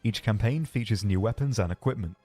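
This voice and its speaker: A man narrating a scripted game showcase as a developer, explaining features in a measured way. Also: fairly low pitch, mellow, husky. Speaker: game developer presenting his team's game. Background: background music.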